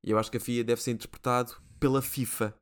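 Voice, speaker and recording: A man's voice: mid-range voice, level tone, fast, a little hiss or hum. A man with a European Portuguese accent, co-hosting a podcast in casual chat, joking and laughing as he steers the topics. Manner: jokey, laughs often, leads the segment. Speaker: podcast co-host.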